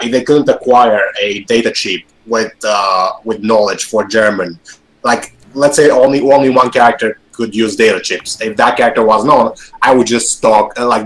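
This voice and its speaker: A man's voice: on the low side, low-quality recording. A man whose first language is Romanian, chatting about tabletop games as a podcast co-host, hesitant and full of restarts. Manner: hesitant, many restarts, laughs often. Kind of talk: casual gaming chat.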